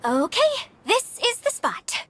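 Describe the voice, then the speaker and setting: Eager, flustered, high-voiced young female guide. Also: sing-song, takes it slowly, fairly bright tone, exaggerated voice. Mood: bright, eager. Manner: hesitant, apologetic, stammering. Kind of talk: video game cutscene acting.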